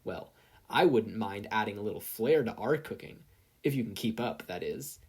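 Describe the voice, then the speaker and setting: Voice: mid-range voice, animated, moderate pace, darkish tone, husky. A performer voicing a brash, playful woman superhero with an easygoing, slangy swagger, in an audiobook style. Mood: cocky, playful. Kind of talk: audiobook-style character acting.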